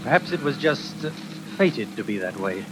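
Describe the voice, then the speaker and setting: An actor playing a courteous, troubled stage actor with hidden menace, in measured 1940s radio drama style. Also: male voice, medium pitch, very animated, natural voice. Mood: tender, uneasy. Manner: polite, measured, faintly ironic. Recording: noisy, hissing old radio recording.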